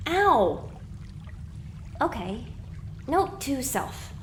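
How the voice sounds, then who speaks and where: A woman's voice: sits high, big pitch swings, very slow, slight background noise, full character voice. A woman playing an anxious, sarcastic young female pony muttering exasperated asides in an audio drama. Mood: pained.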